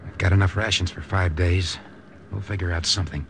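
A terse American secret agent in a vintage radio drama. His voice is on the low side, and he delivers his words in a clipped, matter-of-fact, steady way. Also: a little fast, vocal fry, slight background noise. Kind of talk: 1950s radio drama narration and acting.

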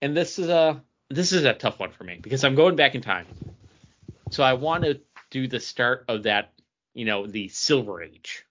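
A man's voice: mid-range voice, lively intonation, normal speed, fairly bright tone, a little hiss or hum. A man co-hosting a podcast, chatting casually and conversationally with friends. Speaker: podcast co-host. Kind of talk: casual chat among friends. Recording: dull, band-limited audio.

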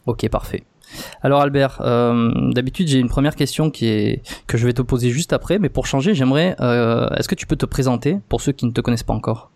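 A man's voice: medium pitch, fairly quick, a little mellow. Conversational male podcast host. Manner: addresses listeners directly, conversational with hesitations. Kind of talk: podcast interview and listener appeal.

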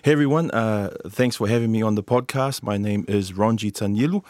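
Low-voiced New man, halting speech. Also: darkish tone, no echo, studio quality. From New Zealand. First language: Samoan. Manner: hesitant, many filler sounds and restarts. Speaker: lawyer and policy advisor, podcast guest. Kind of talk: casual conversational introduction.

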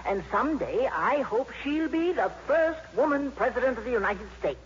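A proud, no-nonsense grandmother, acted by a woman, with big swings of pitch and emphatic delivery. It is 1950s radio comedy acting, muffled with a little background noise. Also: expressive, normal speed, lightly acted. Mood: proud, indignant. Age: older. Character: feisty, proud old grandmother, staunch former suffragette. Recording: dull, noisy vintage radio broadcast.

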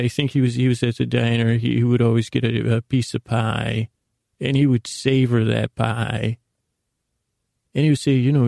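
A man hosting a whimsical solo podcast, meandering and self-deprecating, with gently absurd asides and sentences that trail off and restart. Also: mid-range voice, vocal fry, no echo, clean studio sound. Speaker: podcast host. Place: small closet indoors, dry sound.

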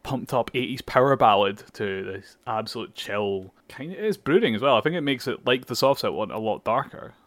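A man's voice: mid-range voice, lively intonation, moderate pace, hardly any pauses, dry recording. A young man co-hosting a podcast, chatting casually about music, swearing freely and explaining things at length. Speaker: podcast co-host. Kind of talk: casual chat.

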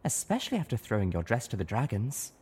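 A man's voice: on the low side, sing-song, fast, a little mellow, breathy edge, heavily acted. A man voicing a refined, theatrical female unicorn in an audiobook, sing-song and gracious.

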